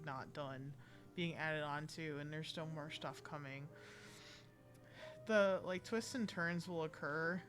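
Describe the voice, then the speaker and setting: A woman's voice: low-pitched, fairly bright tone. A woman streaming a game live, chatting casually in a rambling, playfully dramatic way. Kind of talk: casual live gameplay commentary. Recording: game music behind the voice. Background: video game music.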